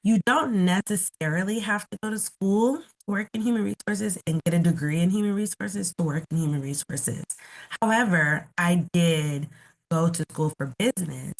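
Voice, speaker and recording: A woman's voice: on the low side, rough recording. A woman in her thirties from Los Angeles, getting over a cold, giving a casual, explanatory webinar talk full of "like" fillers. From Los Angeles, California. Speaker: guest speaker. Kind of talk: webinar presentation.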